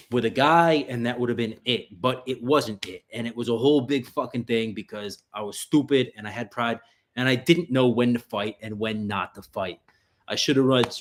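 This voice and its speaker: A man from the US tri-state area, hosting a casual livestream Q&A in a loose, profane, rambling way with lots of fillers and restarts. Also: medium pitch. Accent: New York area. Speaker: livestream host answering viewer questions.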